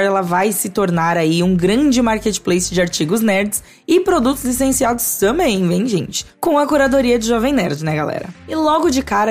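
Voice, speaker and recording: A woman from São Paulo, hosting a casual podcast chat, chatty and quick to laugh. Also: a little high, darkish tone. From São Paulo, Brazil. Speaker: podcast host.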